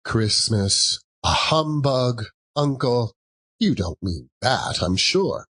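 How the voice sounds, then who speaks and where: Man acting a hearty nephew, lively pitch. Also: slow pace, breathy edge, full character voice. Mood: gently protesting. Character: cheerful, warm-hearted nephew of an old miser.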